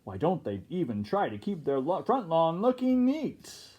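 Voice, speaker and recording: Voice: mid-range voice, huge pitch swings, unhurried, dark tone, breathy edge, pitched above the performer's own voice, exaggerated voice. A storyteller voicing indignant, gossiping storybook neighbours with emphatic, scandalised complaining. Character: indignant, gossiping neighbours fussing about pirates.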